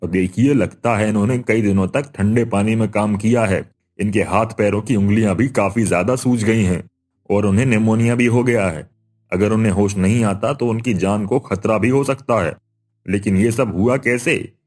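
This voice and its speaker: A man playing a grave, concerned doctor in a Hindi cartoon story, speaking in a measured, serious way. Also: fairly low pitch, a little mellow, natural voice.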